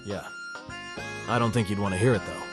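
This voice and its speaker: Cynical teen narrator for a visual novel, played by a man: low-pitched, self-deprecating, sardonic, over clear background music. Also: fairly quick, close to an everyday voice. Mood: uneasy. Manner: sardonic, muttering asides. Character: cynical, self-deprecating male high school student narrator. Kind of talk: first-person scripted narration, visual novel dub.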